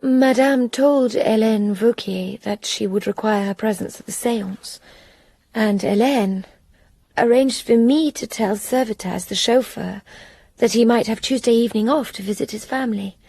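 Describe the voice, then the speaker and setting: A frightened young English woman, telling her story in a soft, hushed voice. The tone is dark and breathy, and the dry, close sound suits a radio drama. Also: fairly high pitch, normal speed, no echo. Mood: recounting. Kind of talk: radio drama narration and acting.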